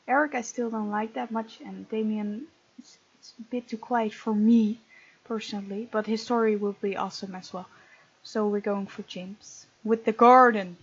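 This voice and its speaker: A woman's voice: mid-range voice, steady tone, moderate pace, husky, no room sound, muffled recording. A woman hosting a gaming let's play, reading aloud and adding chatty, casual asides. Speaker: gaming video host.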